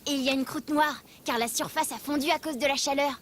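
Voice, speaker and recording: A woman's voice: high-pitched, fairly even intonation, fast, a bit bright. A woman voicing an eager, knowledgeable young child who explains things enthusiastically in an educational French children's cartoon. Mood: explanatory.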